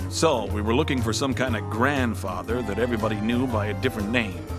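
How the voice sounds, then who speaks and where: An actor playing a wry, deadpan private detective in a comic noir audio drama, with music behind him. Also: male voice, lowish voice, fast talker, lightly acted. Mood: wry, brooding. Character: maladjusted hardboiled male private detective, wry and slow on uptake.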